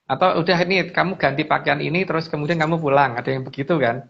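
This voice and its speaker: A man explaining things casually as a guest, haltingly and with repeated phrases. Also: a little high, steady tone, gravelly, a little hiss or hum. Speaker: guest speaker on mystical lore. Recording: dull lo-fi audio.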